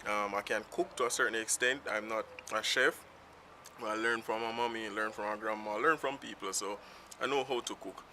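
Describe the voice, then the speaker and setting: Bright-voiced Jamaican man vlogging. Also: lowish voice, steady tone, normal speed. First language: Jamaican Patois. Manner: rambling, unscripted, free-flowing. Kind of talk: casual talk to camera. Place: outdoors in town, in the sun. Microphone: self-filmed camera. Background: faint street traffic.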